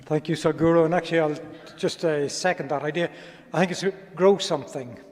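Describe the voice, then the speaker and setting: A man moderating a panel question session, speaking haltingly with restarts and ums. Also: a little high, fairly even intonation, a little mellow. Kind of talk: hosting a panel Q&A. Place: large conference hall. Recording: long reverb of a large hall.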